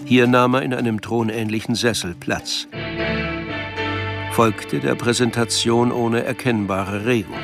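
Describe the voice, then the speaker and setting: A man's voice: medium pitch, steady tone, measured pace, creaky. A man reading literary scripted narration for a radio drama. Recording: music behind the voice.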